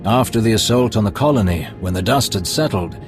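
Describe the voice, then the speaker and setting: A man's voice: fairly low pitch, lively intonation, natural voice. An actor playing a formal, deferential male Imperial spymaster in a game cutscene. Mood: reserved. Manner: formal, courteous, deliberate. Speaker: advisor. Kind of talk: video game cutscene acting. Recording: music score behind.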